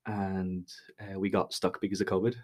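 A man's voice: deep voice, little pitch movement, normal speed, darkish tone. A young man from Spain with a slight Irish accent, chatting casually and telling stories as a podcast guest. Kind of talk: casual conversational storytelling.

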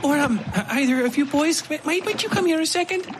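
A man voicing an anxious, hesitant young woman in a dark audio tale. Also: very high voice, a little fast, background noise, voice pushed up. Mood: nervous, hesitant. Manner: hesitant, nervous.

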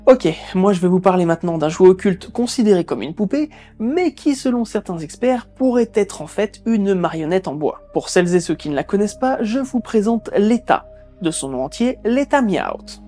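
A woman hosts and narrates a creepy tale about an old object, dropping sarcastic asides along the way. Her voice is soft and dark in tone, with faint music under it. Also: normal speed. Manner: lively, sarcastic asides with squeaky put-on voices. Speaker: host. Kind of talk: storytelling narration with jokes.

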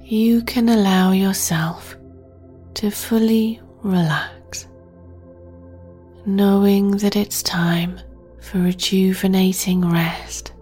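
A woman guiding a sleep meditation in a soft, breathy near-whisper, gently and with long pauses. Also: medium pitch, fairly even intonation. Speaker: meditation guide and podcast host. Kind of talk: guided sleep meditation, soothing narration. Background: meditation music.

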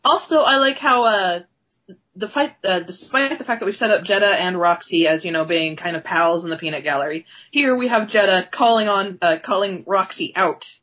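A woman's voice: mid-range voice, normal speed, a bit bright, dull audio. A woman co-hosting a casual comic book discussion, informal and appreciative, often acting out lines. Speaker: podcast host.

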